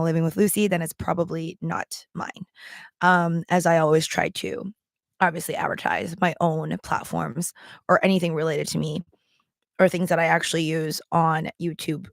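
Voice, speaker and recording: Casual female vlogger, raspy and gravelly, rambling chatter, dry close sound. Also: on the low side, no room sound. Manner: rambling, with many ums and likes; talking around a throat candy. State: strained, worn voice. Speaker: livestream seller and vlogger. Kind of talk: casual chat to camera.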